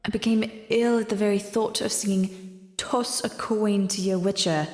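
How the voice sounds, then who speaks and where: Female bard, played by a woman, fantasy audio drama: medium pitch, measured, gentle, guarded and a little wistful, over music. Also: no echo.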